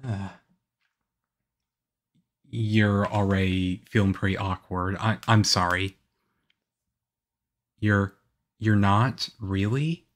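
A man playing a shy, nervous teenage-looking vampire boyfriend in an ASMR roleplay, halting and stammering awkwardly. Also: fairly low pitch, steady tone. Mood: sheepish, apologetic. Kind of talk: ASMR audio roleplay, one-sided conversation.

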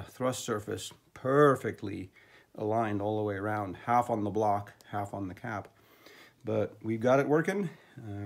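An older man explaining engine assembly step by step in a garage workshop. He sounds practical and instructive, with quick asides as he works. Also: mid-range voice. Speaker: engine builder. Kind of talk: hands-on workshop demonstration.

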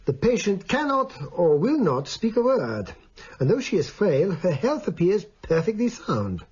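A gentle Viennese doctor in a radio play. His voice is fairly high and husky, with lively rises and falls, and he questions precisely and formally. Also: measured pace, full character voice. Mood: musing, precise. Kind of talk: radio drama acting. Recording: slightly dull radio recording.

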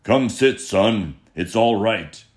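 A man voicing a huge father bear who booms his lines. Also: animated, takes it slowly. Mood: gruff. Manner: loud and booming.

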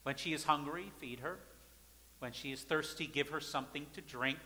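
A man in his thirties, a pastor, preaching at a pulpit in a large church sanctuary with emphatic, repeated phrases that swing between loud and soft. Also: a little high, moderate pace. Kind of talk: sermon. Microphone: pulpit mic, far camera. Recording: church hall reverb.

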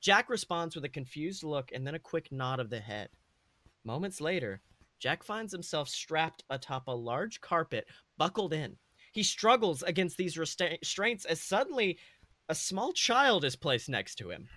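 A man's voice: a little high, big pitch swings, normal speed, pushed and bright, no room sound. A man narrating a comedic story in a dramatic read-aloud style as a podcast host.

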